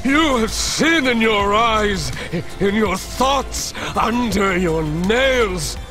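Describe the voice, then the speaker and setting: A man's voice: high-pitched, lively intonation, drawn-out words, music in the background, exaggerated voice. A man playing a crazed street doomsday preacher in a video game, declaiming theatrically like a fire-and-brimstone zealot. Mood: fanatical condemnation. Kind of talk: ranting sermon, game acting.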